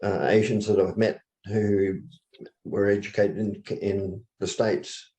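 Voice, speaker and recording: A man talking as a guest in a casual conversation, measured and a little hesitant. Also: lowish voice, flat delivery, warm tone, rough recording.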